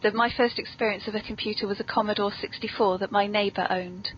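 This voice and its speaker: A woman's voice: medium pitch, steady tone, fast talker. A British woman hosting a podcast in a genteel, playfully theatrical and very polite manner. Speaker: podcast host. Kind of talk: whimsical scripted hosting and genteel chat. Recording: dull lo-fi recording, background noise.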